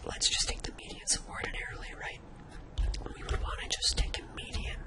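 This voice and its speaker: A person of indistinct sex whispering a breathy, informal ASMR spreadsheet tutorial, thinking aloud step by step. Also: fairly quick. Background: computer keyboard typing.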